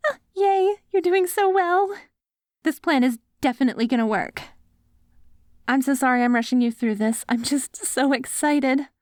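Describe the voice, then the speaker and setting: A woman's voice: sits high, normal speed, dry recording, studio quality, natural voice. A woman playing a devoted, hopeful wife tenderly coaxing her zombie husband in an ASMR audio roleplay. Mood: hopeful, excited. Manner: tender, coaxing, speaks directly to a loved one.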